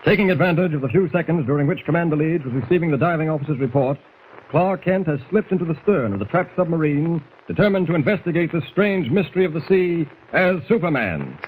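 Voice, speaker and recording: A man's voice: a little high, expressive, quick pace, phone-quality sound. A man narrating a 1940s radio serial in a formal, suspenseful, dramatic style, on a thin and hissy old recording.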